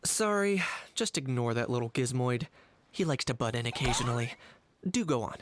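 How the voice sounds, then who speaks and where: A man playing a cool, laconic young character in a video game, speaking in a dry deadpan. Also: mid-range voice, moderate pace, close to an everyday voice. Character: cool, laconic young male dragon companion.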